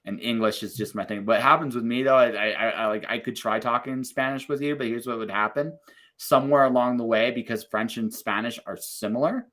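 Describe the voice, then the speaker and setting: A man hosting a podcast interview over a video call, upbeat and encouraging, talking in quick bursts. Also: mid-range voice, fairly even intonation, a bit bright. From Canada. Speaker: podcast host. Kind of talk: casual interview chat.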